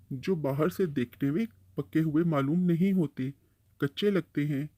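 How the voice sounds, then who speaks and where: A man's voice: on the high side, mellow, no room sound. A man playing a kindly uncle tree in a bird cartoon, patiently explaining and advising. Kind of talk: Hindi-Urdu cartoon storytelling dialogue.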